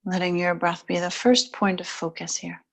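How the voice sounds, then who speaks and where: A woman leading guided relaxation and gentle yoga, speaking in short, soothing, unhurried phrases with long pauses between them. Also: fairly low pitch, flat delivery. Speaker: yoga nidra and stretch instructor.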